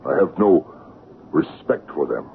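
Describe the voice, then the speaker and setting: An actor playing a stern, unforgiving local man in a 1970s radio drama, cold, curt and measured. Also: extremely low pitch, expressive, gravelly, exaggerated voice. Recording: hissy, tinny old radio broadcast.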